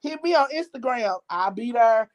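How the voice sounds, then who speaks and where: An older woman calling in to a show, talking bluntly and excitedly in casual slang. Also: fairly high pitch, moderate pace, lo-fi sound. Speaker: caller. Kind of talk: casual phone-in chat.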